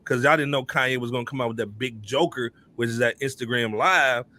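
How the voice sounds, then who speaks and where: A man's voice: mid-range voice, fairly quick, bright, forceful tone. A man hosting a podcast with casual banter, speaking in an emphatic, punchy, slangy style. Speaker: podcast host.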